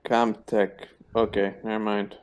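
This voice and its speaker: A man's voice: lowish voice, little pitch movement, measured pace, smooth, lo-fi sound. A man chatting casually as a player in a tabletop roleplaying game.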